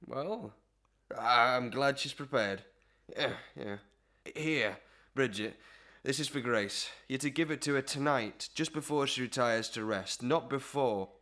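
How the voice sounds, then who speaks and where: A man's voice: mid-range voice, measured pace, pushed and bright. A man playing a pompous, stammering comic beadle who talks in flowery phrases, in a Victorian melodrama. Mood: nervous. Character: pompous, lovestruck comic beadle with genteel pretensions. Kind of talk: Victorian melodrama audio acting.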